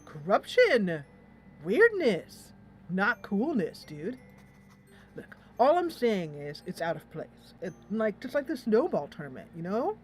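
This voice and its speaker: A woman's voice: medium pitch, big pitch swings, a little slow, a little hiss or hum. A woman voicing a conspiracy-minded, stubborn teenage schoolboy in a visual novel game, emphatic and melodramatic. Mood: insistent.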